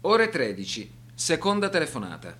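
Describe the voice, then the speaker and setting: A man narrates a documentary radio drama from a script. His slightly high voice is serious and measured, with strongly varied pitch that rises and falls through each phrase. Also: huge pitch swings, fairly bright tone, slight background noise.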